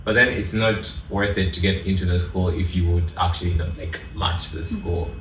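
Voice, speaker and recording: A young man from Uganda talks as a college freshman on a panel, his voice low. He hedges with "like" and "kind of" as he thinks aloud. The sound is roomy and distant, with faint noise behind. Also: fairly even intonation, normal speed. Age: young adult. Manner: thoughtful, hedging with "like" and "kind of". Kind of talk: casual panel chat.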